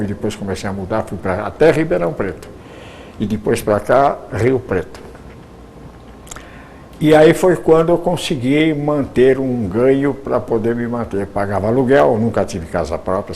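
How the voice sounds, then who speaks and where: A man's voice: medium pitch, a little mellow, a little hiss or hum. An older man, a retired radio announcer telling his life story, rambling with frequent restarts.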